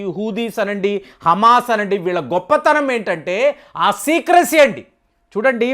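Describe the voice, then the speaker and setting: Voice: sits high, fairly bright tone. A commentator giving news analysis straight to viewers, explaining conversationally and emphatically with frequent rhetorical questions. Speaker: news commentary channel host.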